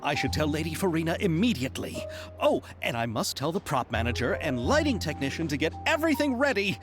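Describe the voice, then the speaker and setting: Game role: an eager young producer, high and animated, faint music. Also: male voice, fairly quick. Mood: overjoyed. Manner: polite, gushing, gets giddy. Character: excitable young male film producer, eager. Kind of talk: game voice acting.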